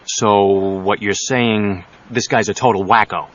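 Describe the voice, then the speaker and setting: A wry, self-assured leading man played by a male actor in an audio drama. His low voice is understated and dryly charming. The cassette sound is dull, with faint noise. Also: fairly even intonation, measured pace, close to an everyday voice. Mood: wry, curious.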